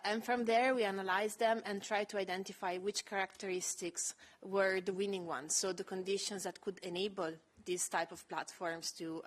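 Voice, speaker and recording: A woman with a low voice giving a measured, explanatory panel presentation with frequent fillers. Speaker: panel speaker presenting project research.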